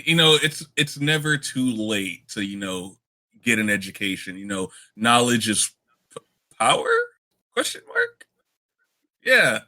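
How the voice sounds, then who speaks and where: A man's voice: on the low side, very animated, unhurried, long pauses, pushed and bright. A man bantering casually in character as a player in a tabletop role-playing game.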